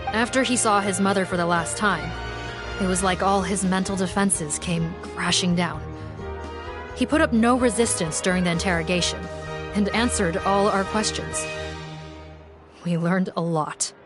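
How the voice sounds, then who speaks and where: A woman's voice: on the low side, normal speed, natural voice. A woman voicing a composed police officer. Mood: businesslike. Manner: matter-of-fact, reporting. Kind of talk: game cutscene voice acting. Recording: game audio with music behind.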